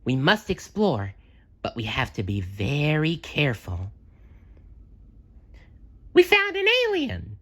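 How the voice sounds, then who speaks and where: A woman voicing an excitable, naive male cartoon sea sponge in a storybook, earnest and dramatic in delivery. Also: very deep voice, slow pace, pauses often, breathy edge, voice pushed up, heavily acted. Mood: alarmed, dramatic.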